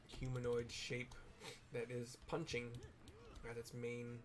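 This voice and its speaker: A man giving casual, explanatory game commentary, describing the scene in a halting way with trailing asides. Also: mid-range voice, completely flat delivery, a little hiss or hum. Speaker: gamer narrating a raid playthrough.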